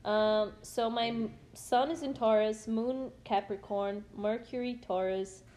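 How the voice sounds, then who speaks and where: A woman's voice: on the high side, fairly even intonation, measured pace, darkish tone. A woman in her twenties talking casually with a friend in a playful, laughing way. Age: 20s. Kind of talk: casual chat between friends.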